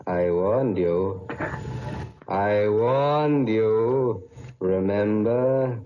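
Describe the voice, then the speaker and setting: Vengeful ghost, very slow deliberate delivery, intense, old radio drama, dull lo-fi sound. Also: male voice, on the low side, slight background noise. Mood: ghostly. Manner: slow, intense, rising to defiant threats. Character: wronged male playwright, later a vengeful ghost. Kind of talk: 1940s radio acting.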